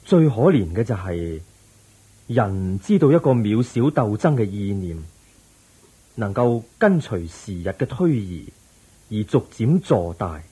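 An actor playing the Buddha as a calm, patient teacher in a radio drama, measured and instructive, with a Hong Kong Cantonese accent. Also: male voice, on the low side, husky, heavily acted. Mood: solemn teaching.

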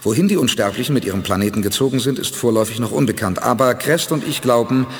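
A man's voice: mid-range voice, fast talker, long reverb tail, faint music behind, close to an everyday voice. An actor playing a determined male spaceship commander, speaking firmly and resolutely in a radio play. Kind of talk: 1980s radio play acting.

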